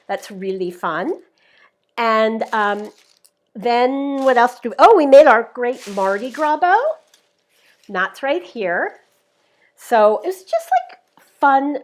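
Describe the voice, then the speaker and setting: A woman hosting a live craft tutorial, explaining each step in a chatty, upbeat way, her voice a little worn. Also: a little high. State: slightly losing her voice. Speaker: craft demonstrator, show host.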